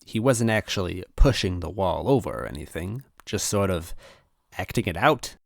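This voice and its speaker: A man reading a first-person story aloud in an expressive narrating style. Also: low voice, moderate pace, vocal fry, no room sound, clean studio sound. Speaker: story reader.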